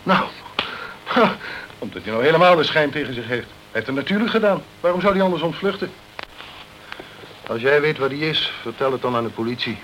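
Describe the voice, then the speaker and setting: An actor playing a sceptical, self-protective British consul in a crime radio play, with a condescending, chummy, blustering way of talking. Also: male voice, a little high, expressive, fairly quick, pauses often, a bit bright, slight background noise, poor audio. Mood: indignant.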